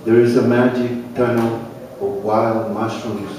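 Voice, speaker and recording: A man's voice: medium pitch, level tone, over music. An older man from Chile, a native Spanish speaker with a Chilean accent, hesitantly introducing himself and then reading his poetry at an open mic. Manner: hesitant, halting with many fillers. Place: cafe open mic, roomy. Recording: echoey cafe from far off, with crowd.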